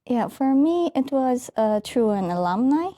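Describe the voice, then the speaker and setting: A young adult woman from Bangladesh, a recent architecture graduate, answering interview questions softly with some hesitant fillers. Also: sits high, sing-song, unhurried.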